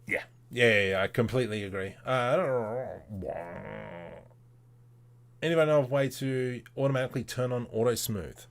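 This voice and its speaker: A man's voice: medium pitch, moderate pace. A man hosting a casual livestream, thinking aloud as he works, with informal and playful muttering to himself. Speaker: livestream host demonstrating 3D modelling.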